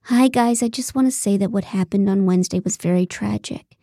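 Audiobook role: bossy female producer, read by a woman, terse and sarcastic, mellow timbre, dry. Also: medium pitch, fairly quick, no room sound, lightly acted. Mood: brisk, formal. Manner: clipped, tight, sarcastic.

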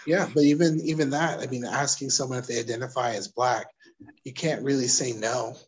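Interview guest: New York man, husky mid-pitched voice, reflective and halting. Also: steady tone. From Brooklyn, New York. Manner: thoughtful, hesitant, with restarts and soft laughs. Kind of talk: casual interview conversation.

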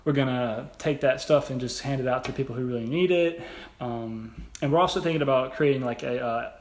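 A young adult man co-hosting a podcast in casual, halting, rambling, informal talk. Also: medium pitch. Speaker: podcast co-host. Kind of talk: casual conversational podcast chat. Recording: echoey room, background noise.

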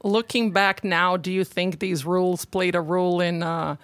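A woman hosting a podcast interview, reading a polished intro and asking questions in a friendly, smooth manner. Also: fairly low pitch, steady tone, moderate pace, dry recording. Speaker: podcast host.

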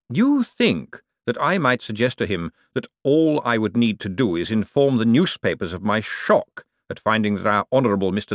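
A man reading aloud and voicing a cold, calculating ruler who speaks slowly with icy politeness. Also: mid-range voice, no room sound, clean studio sound, pitched above the performer's own voice, natural voice. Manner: slow, measured, icily polite. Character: cold, silkily menacing male ruler, patient tyrant.

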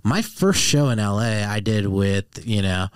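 Boston-accented man, low voice, dry mic. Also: a little slow, smooth, no echo. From Boston, Massachusetts. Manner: animated storyteller, laughs through punchlines. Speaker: guest comedian. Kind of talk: casual podcast chat.

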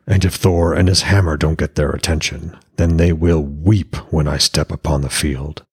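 A man's voice: very deep voice, steady tone, normal speed, a little mellow, slightly rough, full character voice. A man voicing a stern, commanding Norse god-king and father in a fantasy audiobook. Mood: resolute.

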